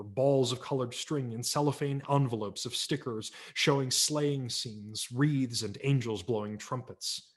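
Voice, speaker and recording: A man, a professional audiobook narrator, giving a wry and expressive dramatic reading of a memoir story at a virtual library event, with small character voices. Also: medium pitch.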